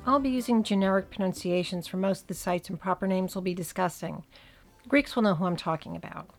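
A woman's voice: medium pitch, a little fast, gravelly, soft background music. Wry New Jersey woman podcast host. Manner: wry, dry asides. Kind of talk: scripted podcast narration.

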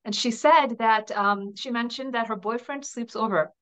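A woman's voice: on the high side, moderate pace, a bit bright. A woman in her thirties from New Jersey, a guest in an interview, speaking earnestly and chattily about her upbringing. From New Jersey, USA. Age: 30s. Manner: earnest and chatty, with frequent restarts and small laughs. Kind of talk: candid personal interview.

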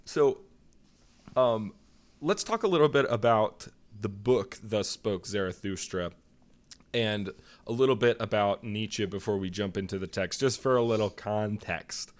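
A man's voice: on the low side, unhurried. A man co-hosting a casual comedy podcast with his brother, telling rambling jokey stories. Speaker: podcast co-host.

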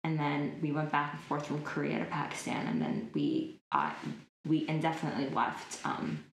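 A woman in her twenties speaks at the low end of women's pitch, chatting casually and filling her sentences with "like" and "um". The room around her gives a noticeable echo, as in an interview recorded in an open space. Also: sing-song, moderate pace, gravelly. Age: 20s. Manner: chatty, lots of likes and ums. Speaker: interview guest. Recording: roomy echo.

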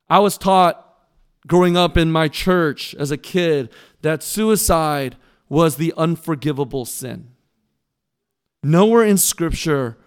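A man preaching a sermon as pastor, earnest and pleading, with emphatic pauses, in a church. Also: fairly high pitch, smooth. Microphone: mic that briefly cut out.

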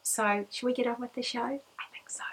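Lady podcaster, bit croaky today, chatty and upbeat, lots of ums. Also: fairly high pitch, steady tone, brisk. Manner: chatty, upbeat, rambling with fillers. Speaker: podcast host. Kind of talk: casual chat.

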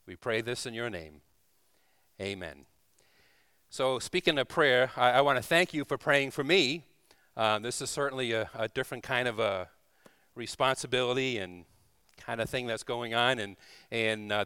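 A man's voice: mid-range voice, bright, forceful tone, clear, resonant tone, dry recording, clean studio sound. A man preaching informally to a congregation in church, folksy and conversational, often checking in with little tag questions. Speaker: preacher, visiting church leader. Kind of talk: informal sermon to a congregation, with scripture reading and prayer. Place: speaking live in a church.

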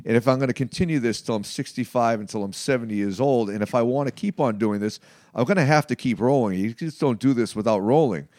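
A man in his late fifties speaking conversationally in an interview, in a halting, emphatic way with frequent check-ins. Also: mid-range voice, a little mellow, dry recording, studio quality. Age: late 50s. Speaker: martial arts practitioner being interviewed.

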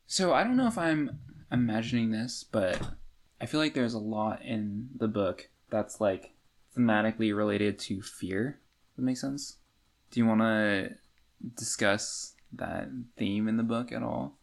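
A man co-hosting a podcast, talking in a casual, halting, conversational way. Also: lowish voice, creaky. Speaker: podcast co-host. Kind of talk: casual book discussion.

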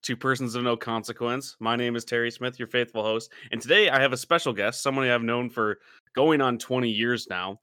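A man hosting a podcast, chatting casually with an old friend and teasing him between laughs. His voice is bright and forward, and the sound is close and dry with no room around it. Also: medium pitch, fairly even intonation, brisk, clear, resonant tone, dry recording. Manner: joking, teasing, laughs often. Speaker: podcast host. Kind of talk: casual chat.

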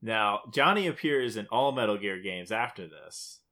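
A man's voice: lowish voice, expressive, normal speed, sharp tone. A man co-hosting a podcast, casually recapping a game's story in emphatic, short clipped phrases. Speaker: podcast co-host. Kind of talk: casual video game plot recap.

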